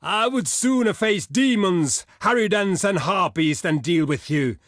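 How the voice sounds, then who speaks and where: An actor voicing a proud, gruff barbarian warrior envoy in a video game, blunt and boastful, declaiming in archaic, formal phrases. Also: male voice, high voice, expressive, measured pace, fairly bright tone, no echo, clean studio sound. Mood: weary, scornful. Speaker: diplomat character in a strategy game. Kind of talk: theatrical video game voice lines.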